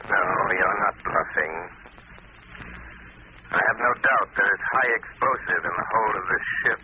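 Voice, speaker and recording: An actor playing a calm, mocking, mysterious crime fighter in an old radio drama, speaking deliberately on a tinny recording. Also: male voice, on the low side, slight background noise, lightly acted. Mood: taunting. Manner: slow, deliberate, taunting. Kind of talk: 1930s radio drama acting.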